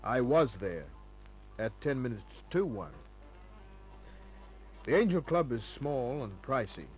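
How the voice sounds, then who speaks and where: An actor playing a cool, wary private detective in an old-time radio crime drama, speaking in a terse, wry, clipped way. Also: male voice, medium pitch, very animated, takes it slowly, low-quality recording. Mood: wry, narrating. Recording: old radio recording with faint music.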